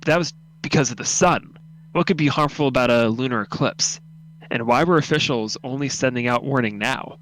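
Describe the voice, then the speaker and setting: A man narrates an audio drama in the second person, reading from a script. His pitch sits in an ordinary middle range. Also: moderate pace, fairly bright tone, slight background noise, rough recording. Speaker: narrator of a radio drama read-through.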